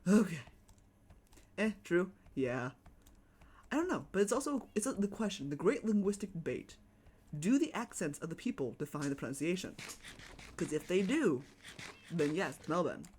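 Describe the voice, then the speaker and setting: Lively, rambling streamer with a Chicago accent. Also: mid-range voice, moderate pace, long pauses, breathy edge. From United States. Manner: rambling, chatty, talks to game mobs. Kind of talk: casual live-stream chat while gaming.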